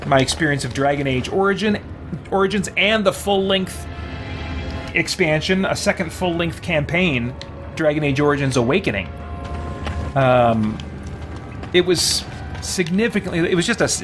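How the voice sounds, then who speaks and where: Slightly high-voiced man chatting over music. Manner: rambling, thinking aloud. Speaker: game livestreamer. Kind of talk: casual chat while playing a video game.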